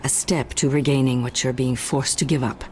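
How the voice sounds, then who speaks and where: An actress playing a composed, businesslike corporate chairwoman with a measured, formal delivery. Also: a bass voice, fairly even intonation, darkish tone, soft background music. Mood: urgent. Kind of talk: video game voice acting.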